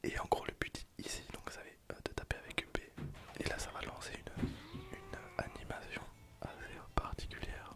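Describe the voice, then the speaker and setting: Soft unvoiced whisper, ASMR gameplay commentary, casual, hesitant, thinking aloud. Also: normal speed. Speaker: ASMR gaming video creator.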